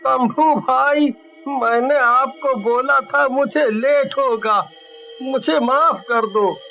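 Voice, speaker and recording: A man's voice: very high-pitched, slow, vocal fry, bright, forceful tone, lo-fi sound, heavily acted. A man voicing an earnest, emphatic village man in a cartoon morality tale. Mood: pleading. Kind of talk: Hindi cartoon story acting.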